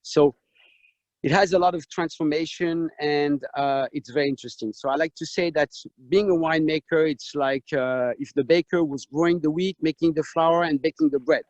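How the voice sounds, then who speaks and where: A man with a French accent speaks from outdoors in a vineyard, halting and full of fillers as he guides a tour. His voice sits a little high, and it comes through a dull, lo-fi video call. The sound is dry and close. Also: steady tone, a little mellow, no echo. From France. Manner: halting, many fillers and restarts. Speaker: winemaker. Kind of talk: live video tour and tasting talk. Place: outdoors in a vineyard on a hot day.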